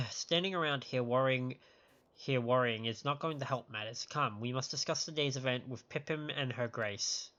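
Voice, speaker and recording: A man's voice: mid-range voice, normal speed, bright, forceful tone, muffled recording. A man on a live game stream, reading dialogue aloud with stumbles over the words and reacting to it. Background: game music.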